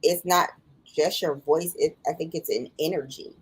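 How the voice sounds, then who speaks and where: A woman co-hosting a podcast, talking in a thoughtful, halting way with many restarts. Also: low voice, steady tone, husky, low-quality recording. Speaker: podcast co-host. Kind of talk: casual chat.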